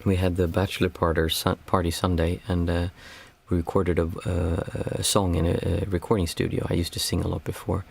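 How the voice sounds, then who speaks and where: A hoarse man with a raspy, worn voice, guiding a group meditation in a calm, unhurried way. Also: deep voice. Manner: calm, unhurried counting of breaths. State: hoarse, losing his voice. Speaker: meditation teacher.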